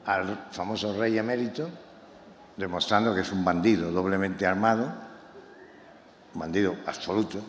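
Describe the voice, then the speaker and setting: A novelist from Málaga, presenting his book to an audience in a talk that is impassioned and halting, with many repeated words. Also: low voice, fairly even intonation. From Málaga, Spain. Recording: echoey hall, faint room noise.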